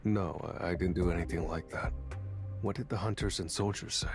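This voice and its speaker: A man playing a calm, reserved young hunter with terse, restrained delivery in a game cutscene. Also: low voice, a little fast, over music, lightly acted. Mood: guarded, calm. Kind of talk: video game cutscene acting.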